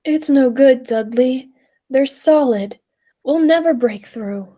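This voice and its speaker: A woman's voice: sits high, slow, dark tone. A woman playing a time traveler's uneasy, doubtful companion in a 1940s-style radio play, earnest and hesitant. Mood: despairing.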